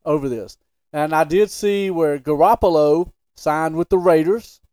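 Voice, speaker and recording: A man with a slightly high voice co-hosts a casual sports podcast. He is opinionated and emphatic, putting his views bluntly in back-and-forth debate. The sound is dry, with no room echo. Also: a little slow, darkish tone.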